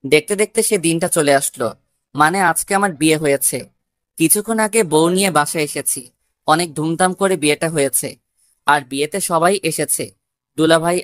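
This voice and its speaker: A woman's voice: low-pitched, dry recording, studio quality. A woman narrating a scripted first-person love story.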